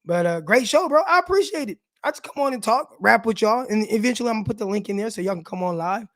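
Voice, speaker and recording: A man with a raspy voice hosting a casual live sports show, talking loosely and with slang straight to his viewers. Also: high voice, animated, fast talker, fairly bright tone, no echo, studio quality. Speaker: sports podcast host.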